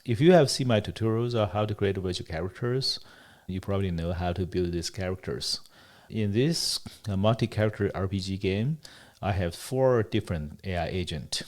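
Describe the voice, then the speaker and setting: A man whose native language is Chinese talks through a screen-recorded tutorial in a somewhat low voice. He explains each step plainly, pausing often and correcting himself mid-phrase. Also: a little mellow. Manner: explanatory, with frequent pauses and self-corrections. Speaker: developer giving a product demo.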